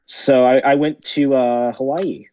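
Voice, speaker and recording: A man's voice: mid-range voice, fairly even intonation, measured pace, mellow. Goofy teen guy, dull lo-fi sound. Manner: goofy, joking, hesitant with fillers. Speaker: podcast co-host. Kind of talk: casual chat.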